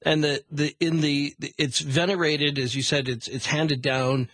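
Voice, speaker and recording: A man hosting a radio interview, prompting his guest and summarising in measured, broken phrases as he builds questions. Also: on the high side, little pitch movement, fairly bright tone, no echo.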